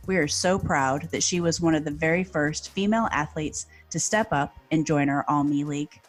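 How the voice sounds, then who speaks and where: A woman's voice: low voice, normal speed, faint music behind. A woman hosting a podcast, reading an enthusiastic introduction and asking interview questions. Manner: warm, enthusiastic, read-aloud delivery. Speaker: podcast host.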